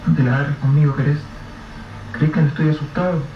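A man playing an evasive, frightened colleague, higher voice, lo-fi noisy radio drama. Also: level tone, fast, close to an everyday voice. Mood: guarded. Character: anxious male colleague, evasive, protective. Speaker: supporting character. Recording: distant, roomy, dull, noisy radio-play recording.

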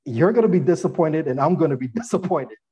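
Guy guest on a podcast, kinda husky and mellow, earnest and reflective, chuckles as he tells stories. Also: fairly high pitch, expressive, fast talker, highs cut off. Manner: earnest, reflective, laughs while telling stories. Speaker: guest, former athlete and attorney. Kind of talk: podcast interview storytelling.

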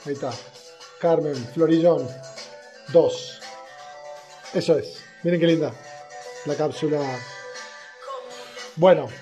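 A man's voice: on the high side, steady tone, slow pace, long pauses, warm tone. A man hosting a live online interview, chatting casually and informally over a video call. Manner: informal, colloquial. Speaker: host of an online wine interview series. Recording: muffled video-call audio with music.

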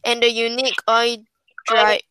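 A woman co-hosting a podcast, reading facts aloud in a halting way and tripping over words. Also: fairly high pitch, little pitch movement, pushed and bright, smooth, no room sound, low-quality recording. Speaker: co-host.

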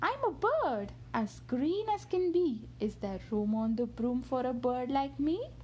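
A woman voicing a proud little green female bird in a rhyming picture-book story, lifting her voice higher for the bird. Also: very animated, a little slow, warm tone, slight background noise.

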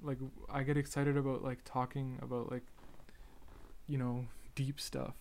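A young man with a stutter speaks in a halting, hesitant way, stalling on words as he searches for them. His voice is smooth and clear, with a flat, even delivery. It sounds like a solo podcast monologue. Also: mid-range voice. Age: young adult. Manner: halting, soft-spoken, hesitant. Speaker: podcast host.

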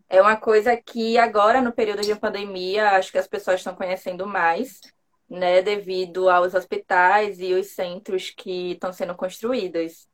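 A woman with a Bahian accent hosts a live stream over a video call. She speaks in a chatty, easygoing way at a middle pitch. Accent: Bahian Brazilian Portuguese. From Salvador, Bahia, Brazil. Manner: chatty, laughs easily. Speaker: interior designer, host of the live stream. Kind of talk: friendly live stream hosting.

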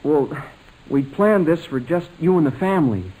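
An earnest young suitor from 1940s radio comedy. His voice sits a little high for a man, and he speaks with careful, hesitant deference. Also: lively intonation, moderate pace, dark tone, poor audio. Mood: earnest, nervous. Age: young adult.

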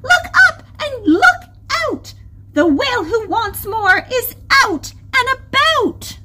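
Woman voicing a high-pitched feisty young girl crab. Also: sing-song, takes it slowly, fairly bright tone, full character voice. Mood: urgent shouting. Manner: urgent, high, emphatic, earnest. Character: clever, kind, feisty young female crab.

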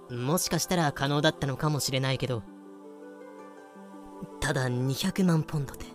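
A woman's voice: low-pitched, close to an everyday voice. A woman acts a knowing, plain-spoken female character in an amateur audio drama. She explains in a dry, matter-of-fact way, with faint music behind her. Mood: sceptical. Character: frank, knowing woman explaining history to a sidekick.

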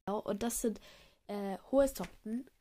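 A woman's voice: mid-range voice, unhurried, mellow. A young woman with a cold, chatting casually and rambling with many fillers and self-corrections. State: has a cold. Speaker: podcaster. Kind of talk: casual chat. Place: outdoors in cold wind.

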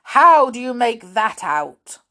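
A woman's voice: medium pitch, sing-song, very slow, voice pushed up, exaggerated voice. A woman voicing a stern, menacing old male schoolmaster in a storybook, thundering and growling his threats.

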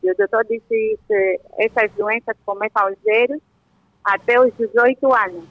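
A woman's voice: on the high side, moderate pace, no echo. A 28-year-old woman with an Angolan accent, calling a radio phone-in and casually explaining a personal problem to a doctor. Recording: dull lo-fi phone line.

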